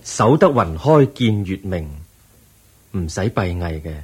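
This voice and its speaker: Radio play wise, kindly holy man, Hong Kong Cantonese accent, very breathy husky voice, unhurried delivery with wide, expressive intonation. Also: low voice, exaggerated voice. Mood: wise, reassuring. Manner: measured, reassuring, sage-like. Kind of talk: Cantonese-language Buddhist radio drama acting.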